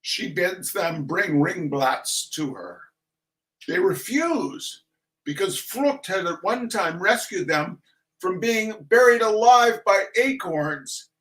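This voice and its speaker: A man giving a theatrical reading of a humorous text in a mock-solemn, deliberate manner with dramatic pauses. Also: sits high, animated, a bit bright, rough recording. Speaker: reader of a comic essay.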